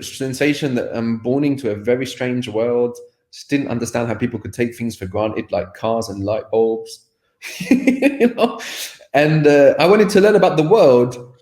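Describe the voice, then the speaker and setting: A man's voice: medium pitch, a little mellow, roomy sound. A man as a podcast guest, talking candidly about his past, reflective, with many fillers and restarts. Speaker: interview guest, language teacher. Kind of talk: candid personal conversation.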